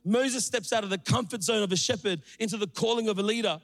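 Energetic male preacher from Sri Lanka, high voice, playful asides, church hall reverb. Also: fast. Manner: energetic, joking, calls out to the crowd. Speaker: pastor. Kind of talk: sermon. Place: church auditorium.

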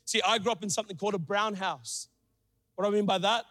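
Energetic male preacher from Sri Lanka, high voice, playful asides, church hall reverb. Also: fast. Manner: energetic, joking, calls out to the crowd. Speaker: pastor. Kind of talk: sermon. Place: church auditorium.

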